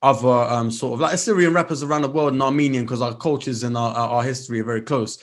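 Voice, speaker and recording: A man with a London accent hosts a hip hop radio show. He talks to his guest in a hyped, friendly way and keeps calling him "my brother". His voice sits at a middling pitch. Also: quick pace. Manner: hype, calls guest "my brother" and "my guy".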